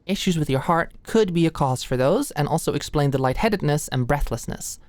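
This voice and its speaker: Woman playing an anxious young med student, low-voiced. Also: lively intonation, a little fast, no echo. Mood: careful, nervous. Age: young adult. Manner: nervous, hesitant, stammering, softly attentive. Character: anxious, awkward young female medical student, easily flustered. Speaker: ASMR roleplay performer. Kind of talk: ASMR roleplay, intimate scripted one-sided dialogue. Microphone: close studio-style mic, dry.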